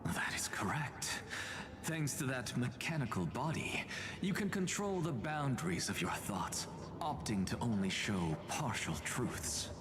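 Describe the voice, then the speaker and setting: An actress voicing a sly, menacing mind-reader in a video game, teasing and drawing out words, sometimes almost whispering. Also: female voice, extremely low pitch, sing-song, unhurried, background noise, exaggerated voice. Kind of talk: dramatic video game voice acting. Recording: game music behind the voice.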